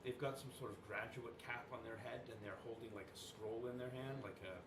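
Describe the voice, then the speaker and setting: Rambling game master in an echoey room. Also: male voice, mid-range voice, a little fast, far from the mic, background noise. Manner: rambling, full of fillers and restarts. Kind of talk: narrating a tabletop game.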